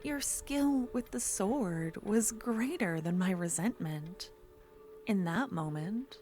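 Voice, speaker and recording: A woman playing an obsessive, menacing yet tender duelist rival, bitterly infatuated, in an intimate, halting ASMR fantasy roleplay. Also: mid-range voice, lively intonation, a little mellow, soft background music. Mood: bitter, admiring.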